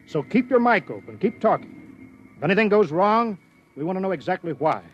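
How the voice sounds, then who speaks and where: A man's voice: high-pitched, sing-song, brisk. A man acting in a 1950s radio drama, playing a serious, cautious flight test director who gives earnest instructions. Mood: grave, instructive. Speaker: radio actor. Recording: muffled, noisy old radio broadcast.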